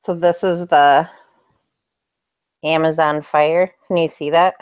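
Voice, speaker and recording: A young woman co-hosting a casual livestream chat, chatty and quick to laugh. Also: low voice, fairly even intonation, a little mellow, clear, resonant tone. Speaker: co-host.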